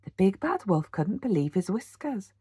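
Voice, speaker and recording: A British woman, a teacher, reading a children's storybook aloud in an animated bedtime-story style. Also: medium pitch, normal speed, slightly rough, no room sound, clean studio sound. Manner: animated, with gasps and sound words.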